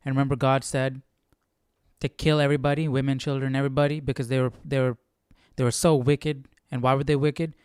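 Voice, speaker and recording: Man, smooth clear voice, casual Bible commentary, musing, questioning, dry close sound. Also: medium pitch, flat delivery, brisk, darkish tone, no echo. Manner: musing, often checks in with rhetorical questions. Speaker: host of a Bible study livestream. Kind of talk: casual conversational scripture commentary.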